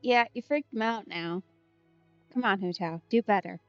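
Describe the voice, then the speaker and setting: A woman's voice: mid-range voice, moderate pace. A woman giving casual let's play commentary with wry, teasing asides. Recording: slightly dull audio, game music behind.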